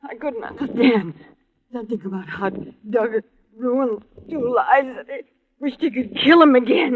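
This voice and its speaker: An actress playing a terrified, tearful wife in an old radio drama, overwrought and halting. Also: female voice, on the high side, lively intonation, natural voice. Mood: weak, shaken. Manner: halting, breathy sobbing, overwrought. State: crying, frightened. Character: terrified married woman, hysterical survivor of an attack. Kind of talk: 1940s-50s radio drama acting, melodramatic. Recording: muffled, band-limited old radio recording.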